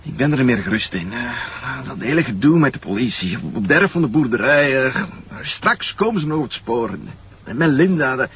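A man's voice: mid-range voice, animated, brisk, a bit bright, lightly acted. An actor playing a nervous, hesitant man who pleads, in a radio play. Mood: anxious. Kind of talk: radio drama acting. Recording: dull lo-fi recording, faint noise.

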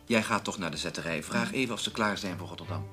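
A man playing a cautious, self-doubting, bookish chief editor in a Dutch radio play, husky-voiced, with a hesitant, mild manner. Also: low-pitched, fast, loud music behind the voice, lightly acted.

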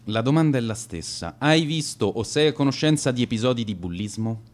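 A man's voice: mid-range voice, expressive, a little fast, natural voice. An actor playing an earnest, easily exasperated male interviewer in a naturalistic radio drama, insistent and often rephrasing his questions. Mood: annoyed, wary.

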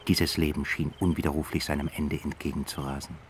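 A man narrating a radio play in a measured, solemn storytelling manner. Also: extremely low pitch, flat delivery, music in the background.

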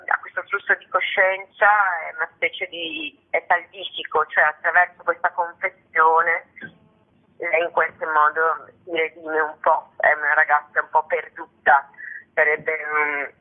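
A woman's voice: low voice, level tone, unhurried. Woman on a tinny phone line. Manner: discursive, often rephrasing mid-sentence. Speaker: guest theatre actress and author. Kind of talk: spontaneous radio interview talk. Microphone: telephone. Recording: tinny, narrow-band telephone line.

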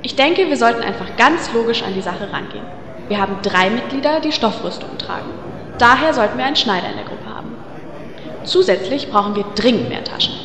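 A woman's voice: fairly high pitch, lively intonation, a little fast, echoey room, in a large hall, a crowd in the background. An actress playing a vain, bossy young female elf healer. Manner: bossy, indignant.

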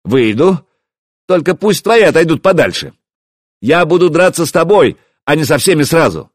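A man voicing a defiant, mocking warrior chieftain who calls out loudly across a distance in an audiobook. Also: mid-range voice, expressive, slow, no echo, clean studio sound. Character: defiant, taunting young warrior chieftain shouting challenges.

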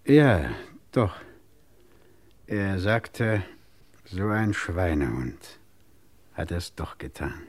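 An actor playing a self-assured, jovial and ironic police inspector in a crime radio play. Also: male voice, sits low, very animated, drawn-out words, pauses often, full character voice. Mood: reflective.

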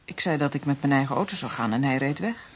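An actress playing a stunned, grieving daughter in a radio drama, with a breathy voice. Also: female voice, very deep voice, expressive, fast talker, slight background noise. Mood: subdued.

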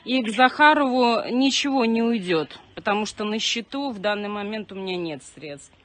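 A woman answering a phone call, calm and wary, questioning the caller skeptically. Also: mid-range voice, moderate pace. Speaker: caller's target, a channel subscriber. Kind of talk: phone conversation. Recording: noisy phone call recording.

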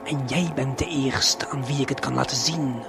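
A man narrates a surreal audio play straight to the listener, with intimate, deliberate, hypnotic phrasing. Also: medium pitch, fairly even intonation, gravelly. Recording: music under the voice. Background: music.

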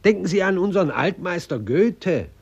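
An actor playing a smug, patronising older gentleman of the arts in a radio play, pompous and theatrical. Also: high-pitched, big pitch swings, normal speed, vocal fry, husky, no echo, highs cut off, heavily acted. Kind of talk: post-war German radio play acting.

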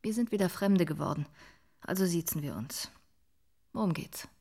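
A woman's voice: on the low side, normal speed, gravelly, dark tone. An actress playing a cool, irritated, sarcastic woman in a radio drama. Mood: cool, annoyed. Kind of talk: German radio drama acting.

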